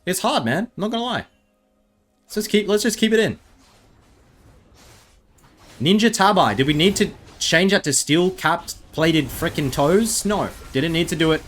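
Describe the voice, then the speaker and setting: A man's voice: high-pitched, lively intonation, normal speed, long pauses, fairly bright tone, soft background music. Chatty Australian man, rambling commentary. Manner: rambling, self-mocking, chatty asides. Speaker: gaming video creator. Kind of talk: casual gameplay commentary.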